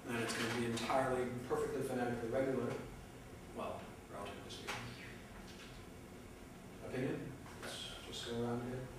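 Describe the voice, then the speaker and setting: A man's voice: medium pitch, steady tone, fast, long pauses. A man leading an informal classroom discussion, asking questions and joking about his own limits. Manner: informal, self-deprecating, asks many questions. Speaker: class instructor. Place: lecture classroom. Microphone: far room mic. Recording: echoey classroom from far off, noisy.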